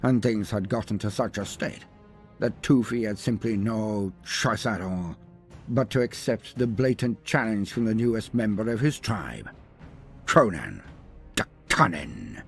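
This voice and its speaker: A man's voice: fairly low pitch, lively intonation, measured pace, creaky, soft background music. A man reading scripted fantasy storytelling in a theatrical, grandiloquent manner with wry asides. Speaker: lore video narrator. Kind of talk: dramatic scripted story narration.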